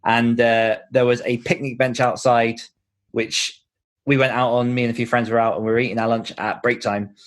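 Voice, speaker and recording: British guy, mid-pitched voice, chatty and jokey, casual podcast chat. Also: flat delivery, normal speed. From Britain. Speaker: podcast host and author, being interviewed on own show.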